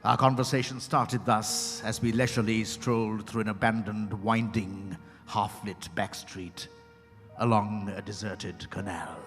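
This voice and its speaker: Casting: man playing a storyteller, measured and dramatic, echoing hall with music underneath. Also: fairly low pitch, steady tone, fairly bright tone. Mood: reflective. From India. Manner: measured, theatrical, short weighted phrases. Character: reflective storyteller recounting his own encounter. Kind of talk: dramatized first-person story narration. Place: event hall at a hotel. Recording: echoey hall with music behind.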